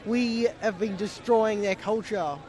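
A man's voice: sits high, steady tone, unhurried, a little mellow, a faint crowd. A man at an outdoor rally giving an earnest answer, searching for words. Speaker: rally attendee.

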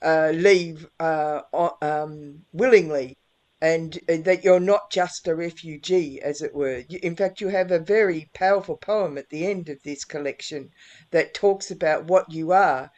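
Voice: medium pitch, unhurried, a little mellow. Reflective interview host. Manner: reflective, leading questions. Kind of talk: interview conversation.

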